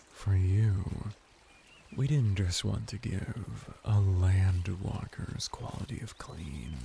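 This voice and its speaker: A seductive merman bath attendant, played by a man in an intimate ASMR roleplay, speaks in a low, heavily creaky voice. He is courteous and formal, taking his time with lingering pauses, over faint background noise. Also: a little mellow, exaggerated voice. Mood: courteous, attentive.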